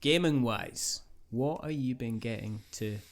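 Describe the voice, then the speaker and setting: A man hosting a podcast in casual chat, with a jokey, rambling and animated way of talking. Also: medium pitch, unhurried. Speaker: podcast host.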